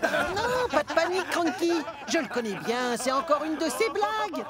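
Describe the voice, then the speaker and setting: An actor voicing a cheerful young tank engine in a French-dubbed children's cartoon, lively and exclamatory. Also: high-pitched, moderate pace, a loud crowd. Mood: amused. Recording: loud music behind.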